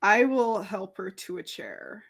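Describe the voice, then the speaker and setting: A woman's voice: mid-range voice, unhurried, fairly bright tone. A woman playing a tabletop roleplay game, casually saying what her character does and says.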